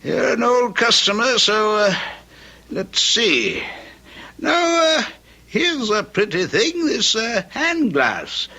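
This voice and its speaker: An actor playing a fussy, querulous old antique dealer with a halting way of speaking, in an old radio drama style. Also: male voice, very high-pitched, wildly swooping pitch, vocal fry, sharp tone, full character voice. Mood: fussy, obliging.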